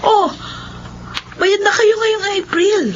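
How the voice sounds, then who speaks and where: An actress playing a caring but blunt adult daughter in a Filipino radio drama, emotive and scolding. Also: female voice, very high-pitched, fairly even intonation, slow. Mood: curious. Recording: dull, slightly noisy radio recording.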